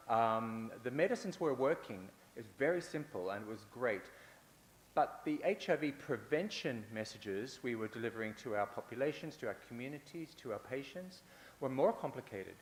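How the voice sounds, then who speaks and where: A man giving a conference talk, building his points with repeated phrases and the odd filler or restart. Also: medium pitch. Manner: repetitive, rhetorical, with fillers and restarts. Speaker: conference speaker. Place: large conference hall. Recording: echoey hall with faint hiss.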